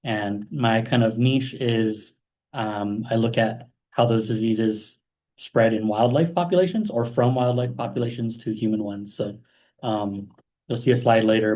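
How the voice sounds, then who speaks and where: A young man from Hawaii giving an informal talk about his career as a guest speaker, relaxed, with many fillers. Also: mid-range voice. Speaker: guest speaker, math postdoc.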